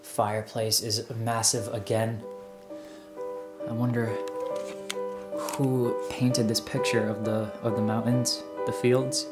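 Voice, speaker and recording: A man's voice: mid-range voice, little pitch movement, slow, long pauses. Male vlogger, twenties, casual exploring narration, musing delivery, indoor room, light music underneath. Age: 20s. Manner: musing, wondering aloud. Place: indoors, rooms of an abandoned house.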